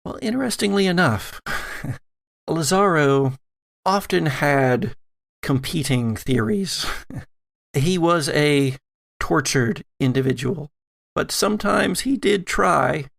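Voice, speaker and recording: A man's voice: fairly high pitch, expressive, takes it slowly, gravelly, pauses often, a bit bright. A man narrating a tabletop roleplaying game as game master.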